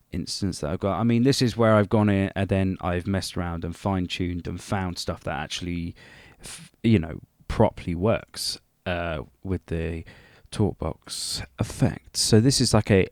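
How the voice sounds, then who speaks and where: A man's voice: low voice, darkish tone, smooth, dry recording, clean studio sound. A man presenting a casual, unscripted music production tutorial, rambling hesitantly and a little self-deprecating.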